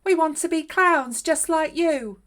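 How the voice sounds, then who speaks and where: A woman's voice: high voice, unhurried, fairly bright tone, voice pushed up. A woman voicing a pair of eager, determined little mice in a children's storybook.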